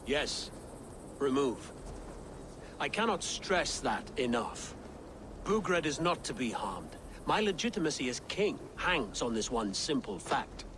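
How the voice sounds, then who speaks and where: An anxious Saxon nobleman in a video game cutscene. He speaks formally and carefully at a middle pitch, with clear noise in the background. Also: very animated. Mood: firm, cautioning. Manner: measured, formal, worried. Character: anxious, earnest Saxon nobleman and claimant king.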